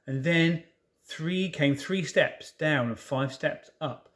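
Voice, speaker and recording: A man reading a children's story aloud in an expressive way, with informal asides to listeners. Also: on the high side, slow. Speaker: storybook reader.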